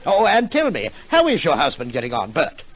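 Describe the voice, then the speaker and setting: A man's voice: fairly high pitch, huge pitch swings, fairly quick, fairly bright tone, full character voice. An Australian actor playing an exasperated, sardonic father in an old-time radio comedy, blustery and mock-polite. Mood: teasing, placating. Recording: noisy old radio recording.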